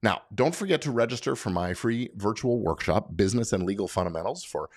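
Male podcast host, low-pitched voice, conversational explainer, dry close sound. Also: lively intonation, brisk, darkish tone, no echo. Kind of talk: informal explanatory podcast monologue.

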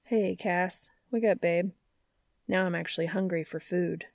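A woman's voice: lowish voice, steady tone, unhurried, creaky, no echo, studio quality. A woman voicing an eager, tender, slightly awkward male lover in an audiobook romance, murmuring casually. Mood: affectionate. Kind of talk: audiobook character voice.

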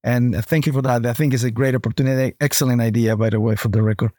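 Halting middle-aged man from Honduras. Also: medium pitch, fairly even intonation, a little mellow, no echo, clean studio sound. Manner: halting, repeats words and restarts. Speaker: international student recruitment manager. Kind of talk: interview guest, conversational.

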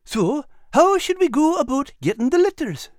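Chubby animal sidekick: high, folksy, earnest, very animated. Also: male voice, moderate pace, no echo, clean studio sound. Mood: chatty, proud. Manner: folksy, ungrammatical, eager. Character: chubby, earnest, excitable male animal with a paw.

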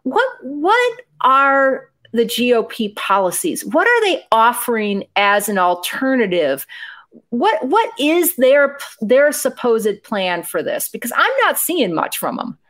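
A woman's voice: a little high, very animated, slow, fairly bright tone. A woman hosting a political discussion, talking in an indignant, questioning way.

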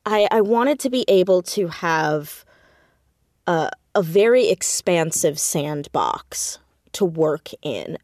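A woman with a slightly low voice talks casually as an interview guest. She is animated and self-deprecating, quick to laugh at herself. The sound is dry, with little room in it. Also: dry recording. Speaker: podcast guest, audio drama creator and writer.

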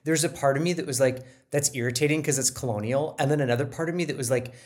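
A man's voice: medium pitch, fast talker. A middle-aged man co-hosting a podcast in casual chat, self-deprecating and hesitant, often restarting his sentences. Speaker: podcast co-host.

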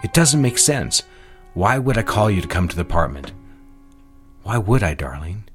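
A man's voice: on the low side, very animated, moderate pace. A man acting a controlling husband in an audio drama, coaxing and patronizing as he insists. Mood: insistent. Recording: music under the voice. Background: music.

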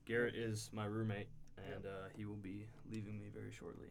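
Low, gravelly-voiced young man. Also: little pitch movement, a little fast. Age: 20s. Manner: earnest, explains at length with fillers. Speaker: podcast co-host. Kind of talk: casual chat. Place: college dorm room.

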